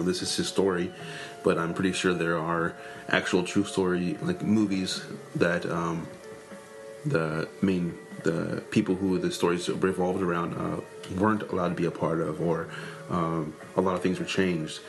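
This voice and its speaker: A man with a very deep voice co-hosting a casual podcast. He talks haltingly, filling gaps as he thinks, and music plays clearly beneath him. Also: little pitch movement, poor audio. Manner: halting, lots of um and uh. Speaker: podcast co-host.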